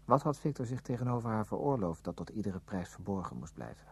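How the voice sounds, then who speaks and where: Low, mellow, brooding man. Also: very animated, fast talker, vocal fry, breathy edge, soft background music, exaggerated voice. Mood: brooding, reflective. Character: brooding, probing male former student recalling his past. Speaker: narrator and lead character in a radio play. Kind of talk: scripted radio drama, literary first-person narration.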